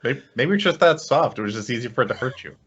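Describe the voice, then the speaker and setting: A man's voice: mid-range voice, expressive, fast, fairly bright tone. A man co-hosting a podcast, chatting casually with a teasing, joking manner. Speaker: podcast co-host. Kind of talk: casual chat. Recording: dull, lo-fi online call audio.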